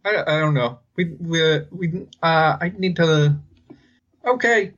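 Halting man on slightly dull audio. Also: fairly high pitch, a bit bright. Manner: hesitant, halting, thinking aloud. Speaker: podcast host. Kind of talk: casual podcast discussion.

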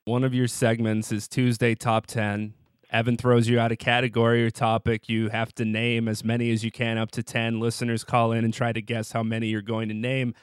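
A man's voice: medium pitch, monotone, brisk, clean tone, dry recording, studio quality. A man hosting a podcast, reading prepared interview questions with a fan's eagerness. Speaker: podcast host.

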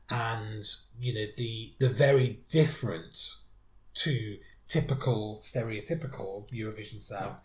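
A man's voice: mid-range voice, a little mellow. Chatty man in an echoey room. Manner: chatty, thoughtful, many restarts. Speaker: podcast co-host. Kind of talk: casual chat reviewing songs. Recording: roomy echo.

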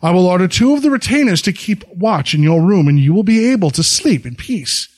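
A steady samurai husband speaks with formal, considerate calm, as a male narrator voices him. His tone is fairly high for a man and stays even-tempered. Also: a little fast. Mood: earnest. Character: gentle, honorable samurai husband.

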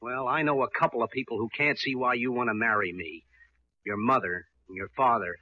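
Hapless young fiancé, very bright sharp voice, earnest, plaintive, radio comedy. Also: male voice, medium pitch, steady tone, brisk, dry recording, lo-fi sound. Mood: self-deprecating. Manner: earnest, plaintive, comic timing. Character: hapless, self-deprecating young fiancé and small businessman. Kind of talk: mid-century radio comedy acting.

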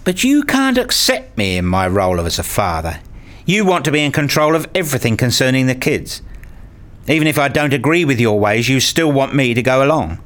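A man acting as a wounded, self-justifying father in a radio play, speaking in an introspective, deliberate way. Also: mid-range voice, very animated, a bit bright. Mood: pleading, frustrated. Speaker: narrator and lead actor in a radio play. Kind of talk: radio play narration and dialogue.

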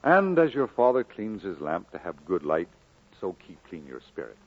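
An actor playing a kindly, earnest, encouraging minister in an old radio drama, exhorting in a solemn, measured way. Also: male voice, low-pitched, very animated, heavily acted. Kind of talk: 1940s radio drama acting. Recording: muffled old radio broadcast.

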